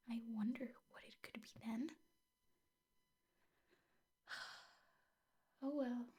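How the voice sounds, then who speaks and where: Breathy, slow-spoken possessive girlfriend played by a woman. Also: a little high, exaggerated voice. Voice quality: soft, breathy, often whispering. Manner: slow, doting, coaxing with hesitant pauses. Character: possessive, lovesick yandere woman teacher coaxing her captive. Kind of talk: soft ASMR girlfriend roleplay.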